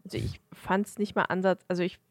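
A woman's voice: lowish voice, lively intonation, a little fast, dry recording, studio quality. A woman chatting casually as a podcast co-host, giving blunt opinions. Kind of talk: casual chat about films.

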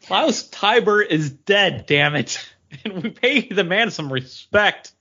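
A man's voice: sits high, animated, slow pace, sharp tone, breathy edge. A man co-hosting a podcast, chatting casually in a rambling, easygoing way. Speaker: podcast co-host. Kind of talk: casual chat. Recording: dull lo-fi recording.

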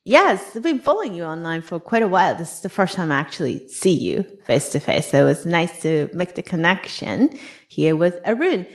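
A woman's voice: fairly low pitch, big pitch swings, moderate pace. A woman hosting a podcast interview, speaking in a conversational way. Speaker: podcast host.

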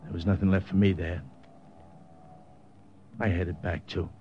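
An actor playing a loyal, shaken wartime agent in an old radio drama, with an intense, plain-spoken delivery. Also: male voice, deep voice, level tone, measured pace, muffled recording. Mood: somber. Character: loyal, shaken American wartime agent recounting his mission. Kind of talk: 1940s-50s radio drama acting and narration.

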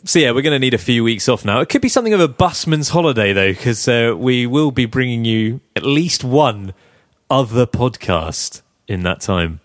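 A young man hosting a casual podcast, his voice croaky, chatting, teasing and laughing often. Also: medium pitch, expressive, moderate pace, few pauses, no echo, clean studio sound. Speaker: podcast host. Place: flat.

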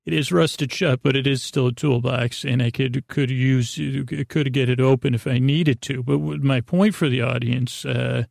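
A man hosting a sleep podcast with a rambling, halting improvised monologue, often stammering, interrupting himself and arguing with himself in a self-deprecating way. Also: medium pitch, fairly even intonation, gravelly, darkish tone, no echo, studio quality. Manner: self-deprecating, acting out inner dialogue. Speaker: podcast host.

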